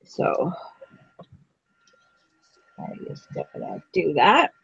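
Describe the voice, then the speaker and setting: A woman's voice: low-pitched, huge pitch swings, very slow, gravelly, a bit bright, dull audio, slight background noise. A woman co-hosting a craft stream, chatting casually and teasing herself as she paints. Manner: chatty, giggly, self-teasing. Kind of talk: casual chat while painting.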